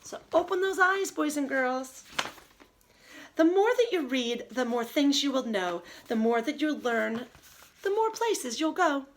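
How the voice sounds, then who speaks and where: A woman teacher reading aloud and giving a lesson to young children in an expressive, child-directed way. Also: sits high, a little slow, slight background noise. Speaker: early elementary teacher.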